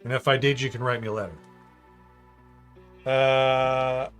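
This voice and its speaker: A man of ordinary pitch hosts a relaxed livestream, rambling and thinking aloud while he builds. He reads out chat, cracks jokes and drifts between topics. Soft music plays quietly behind him. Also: measured pace, clear, resonant tone. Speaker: livestream host building a model.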